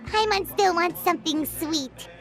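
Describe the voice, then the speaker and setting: A woman voicing a tiny, childlike fairy companion in a video game, excitable and food-loving, squeaky and exclamatory. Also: measured pace, over music. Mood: eager, chatty. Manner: refers to self in third person, exclamatory. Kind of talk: video game character acting.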